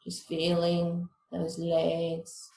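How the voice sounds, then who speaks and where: A woman teaching meditation, giving guided relaxation instructions in a calm, measured, soothing manner. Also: fairly low pitch, creaky, roomy sound, poor audio.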